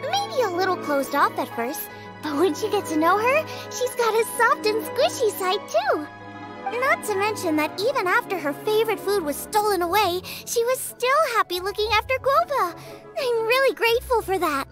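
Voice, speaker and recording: A woman's voice: extremely high pitch, big pitch swings, normal speed. A woman voicing a cheerful, friendly teenage girl cook in an anime-style video game, upbeat and casual. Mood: earnest. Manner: upbeat, casual, food-minded. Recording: music under the voice. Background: game music.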